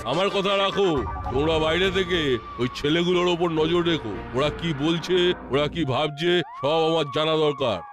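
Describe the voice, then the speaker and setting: A man's voice: on the high side, normal speed, music in the background, lightly acted. A man voicing a scheming ringleader in a cartoon, giving calculated orders to his hired spies. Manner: commanding, calculating. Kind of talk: cartoon dialogue.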